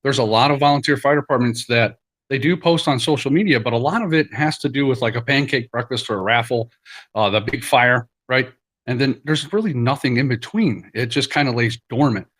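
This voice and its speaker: A man's voice: mid-range voice, brisk, fairly bright tone. A man hosting a live stream in a casual, loosely conversational, plain-spoken way.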